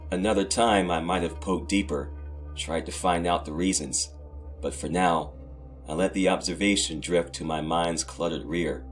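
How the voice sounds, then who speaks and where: A grief-stricken young man played by a male narrator tells his own tragedy in a low voice. The delivery is brooding and dramatic, in the style of a horror audio drama, with soft music underneath. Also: steady tone, normal speed, natural voice. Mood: lost, grieving.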